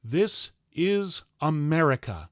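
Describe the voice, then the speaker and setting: A man playing a 19th-century general, delivering a formal political address with loud, emphatic, solemn oratory. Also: fairly high pitch, very animated, takes it slowly, dry recording, clean studio sound, pitched above the performer's own voice. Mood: fervent, defiant. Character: impassioned 19th-century general orating to the American people. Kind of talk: declamatory political oratory, formal speech reading.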